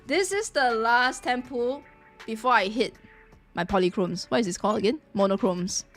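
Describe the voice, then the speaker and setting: A woman chatting casually on a live game stream, reacting excitably and repeating her phrases. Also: on the high side, moderate pace, soft background music.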